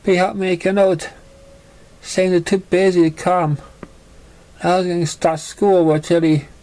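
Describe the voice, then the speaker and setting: A young pony girl acted out by a man speaks in a high voice, halting and confessional. She is an orphan, wounded but plucky, telling her own story in a fan-made storybook reading. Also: flat delivery, warm tone. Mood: recounting. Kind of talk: amateur fan fiction reading.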